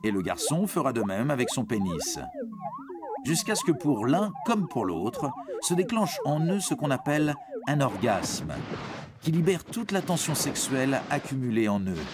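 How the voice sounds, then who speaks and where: A man's voice: medium pitch, big pitch swings, moderate pace. A man giving scripted educational narration for young teens in a reassuring, explanatory manner. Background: background music.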